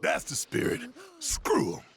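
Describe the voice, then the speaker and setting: A man voicing a gruff, passionate, protective rebel fighter in a video game, with a gravelly voice and folksy, rallying delivery. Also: measured pace, close to an everyday voice. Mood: indignant, rallying. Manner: forceful, folksy, swearing, rallying. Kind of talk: video game cutscene acting.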